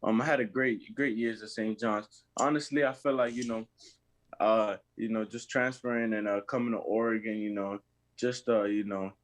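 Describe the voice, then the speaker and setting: A young college basketball player from Massachusetts answering interview questions in a halting way, filling pauses with "you know" and "uh". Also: male voice, fairly low pitch, no room sound. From Lawrence, Massachusetts. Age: young adult.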